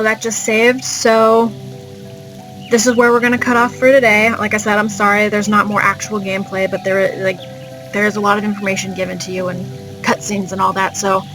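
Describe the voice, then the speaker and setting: Female let's play host: mid-pitched, informal, rambling game commentary with music underneath. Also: rough recording.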